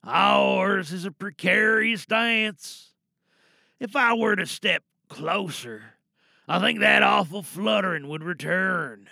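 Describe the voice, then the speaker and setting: A man acts out a gloating, lovestruck supervillain for an audio drama. The voice is high and piercingly bright, very close and dry. Every phrase is slow, theatrical and savored. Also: creaky, no room sound, full character voice. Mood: smitten, unsettled. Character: flamboyant, gloating supervillain, secretly smitten with captive hero.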